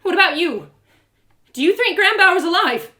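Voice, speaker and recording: Lady doing a upset young adventurer girl, high and emotional, pleading, audio drama style, echoey room. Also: animated, moderate pace, exaggerated voice. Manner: emotional, pleading, swinging to loud wails.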